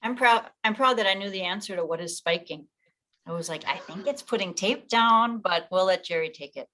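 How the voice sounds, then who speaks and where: A woman's voice: medium pitch, fairly quick, a bit bright. A woman moderating a casual meeting, passing along questions and checking answers.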